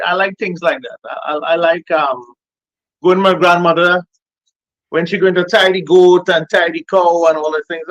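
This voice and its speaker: Middle-aged Trinidadian man, notably high voice, dry close sound, hesitant casual talk. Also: a bit bright, no echo, lo-fi sound. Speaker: interview guest, music producer and DJ. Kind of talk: casual chat, reminiscing. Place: remote call, on a phone.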